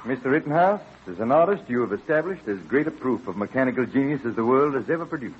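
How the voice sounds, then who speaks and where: A courtly, admiring colonial statesman played by a man in a vintage radio play. He has a medium-pitched voice and formal, measured delivery. The recording is dull and faintly noisy. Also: expressive, lightly acted. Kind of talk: old-time radio drama acting.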